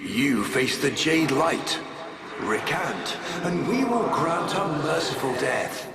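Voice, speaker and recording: An actor playing a cold aristocratic official torn by obsessive love, with formal, deliberate, dramatic delivery in a game cutscene. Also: male voice, mid-range voice, big pitch swings, very noisy. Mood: solemn, commanding. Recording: roomy echo under loud music.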